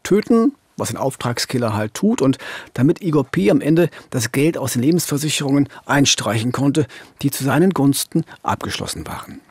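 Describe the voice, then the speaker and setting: A man in his fifties with a slightly husky voice co-hosts true-crime storytelling in a conversational way, close on a studio microphone. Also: mid-range voice, animated, moderate pace, a little mellow, no echo. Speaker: true-crime podcast co-host. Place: dark radio studio. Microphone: studio microphone on boom arm, headset monitoring.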